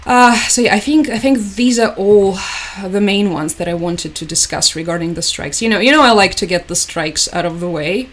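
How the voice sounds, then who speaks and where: A woman's voice: mid-range voice, normal speed. A woman hosts a live stream in a resigned, somber way. Her first language is Russian, and she talks through the news in English, stopping and restarting often. She breaks off now and then to thank people in her chat. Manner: somber, hesitant, many fillers and restarts; resigned, repeats "unfortunately" and "as always". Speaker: livestream host. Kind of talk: live commentary while reading chat.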